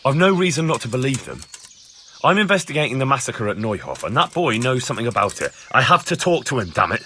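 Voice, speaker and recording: A man's voice: medium pitch, sing-song, fast, sharp tone, a little hiss or hum. An actor playing a determined young man in a medieval video game, questioning people in an insistent, direct way. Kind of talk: video game cutscene dialogue.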